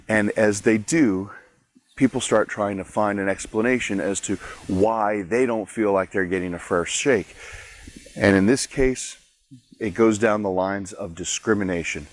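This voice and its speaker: Low-voiced man teaching a devotion. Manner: conversational, explanatory, with frequent restarts. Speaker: pastor. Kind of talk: daily devotional Bible teaching.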